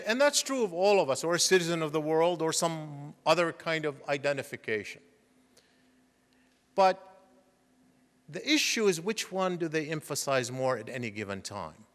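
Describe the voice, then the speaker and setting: A middle-aged man giving a public lecture, explaining at a measured pace with frequent fillers and restarts. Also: a little high, fairly bright tone. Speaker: guest lecturer. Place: lecture hall. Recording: reverberant lecture hall.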